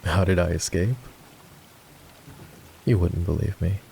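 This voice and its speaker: Man playing a deep, gravelly, sardonic knight. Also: a little slow, mellow, a little hiss or hum. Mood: wary, instructing. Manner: sardonic, teasing, commanding. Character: gruff, sardonic fantasy knight, grudgingly escorting a princess. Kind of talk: roleplay ASMR voice acting.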